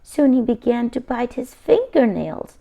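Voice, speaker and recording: A woman's voice: on the high side, very animated, unhurried, vocal fry, narrow, tinny audio. A woman reading a children's picture book aloud expressively, adding simple asides for young listeners.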